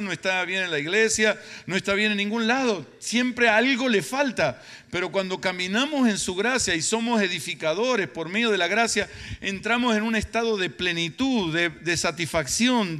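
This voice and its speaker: A man's voice: high-pitched, sharp tone. A middle-aged or older man with an Argentine Spanish accent preaching emphatically, repeating phrases for effect. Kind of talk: sermon. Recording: reverberant hall sound.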